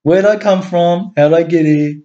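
A man's voice: high-pitched, normal speed, dull audio, voice pushed up, close to an everyday voice. A man voicing a cheeky, unflappable male alien visitor who talks in a breezy, teasing way. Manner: breezy and teasing, turns forceful at times.

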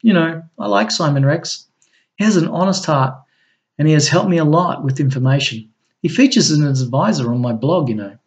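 A man's voice: fairly high pitch, lively intonation, fairly quick, close to an everyday voice. A man voicing a sweet but sarcastic woman who teases her partner. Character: woman, a man's loving, sharp-tongued partner. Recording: dull lo-fi recording.

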